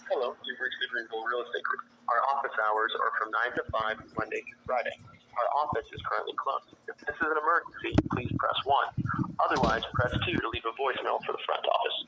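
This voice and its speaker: Brisk man on a muffled voicemail greeting. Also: medium pitch. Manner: brisk, polite, scripted. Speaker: answering-machine greeting. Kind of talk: formal recorded voicemail greeting. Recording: muffled phone speaker with loud hiss.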